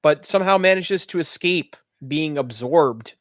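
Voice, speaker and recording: A man acts as game master in a casual, conversational style. His voice is a little on the high side. The sound is dry, with very little room in it. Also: animated, a little slow, fairly bright tone, dry recording. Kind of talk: casual tabletop game narration and rulings.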